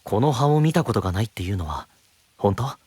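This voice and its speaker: A man playing a calm, gentle young knight in an anime-style voice drama, measured and earnest. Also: lowish voice, husky, no room sound. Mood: inquiring.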